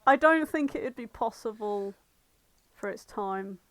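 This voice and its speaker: A woman co-hosting a podcast in casual banter, blunt, sweary and quick to argue. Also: on the high side, animated, a bit bright. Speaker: podcast co-host.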